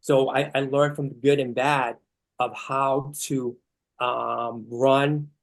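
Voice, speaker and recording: A man's voice: mid-range voice, level tone, slow pace, creaky. A man from Chile, a chef, telling a reflective story in a rambling way with many fillers. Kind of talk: casual panel talk.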